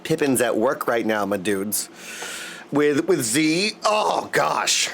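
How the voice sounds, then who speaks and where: A man's voice: medium pitch, very animated, slow pace, vocal fry, a bit bright, a little hiss or hum. A man livestreaming casually, greeting viewers with effusive affection as he chats. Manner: effusive affectionate greetings, often addressing listeners as dudes. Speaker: live streamer greeting chat.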